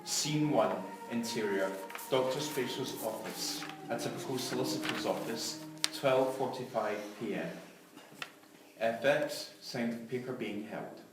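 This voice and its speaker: A man's voice: medium pitch, unhurried, music in the background. A man reading stage directions as scripted narration for a drama. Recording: very echoey, distant, faint noise.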